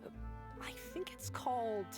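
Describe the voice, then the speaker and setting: A woman's voice: normal speed, close to an everyday voice. A woman voicing a friendly, easygoing young woman in a video game, polite and a little hesitant. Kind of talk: video game character acting. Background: game music.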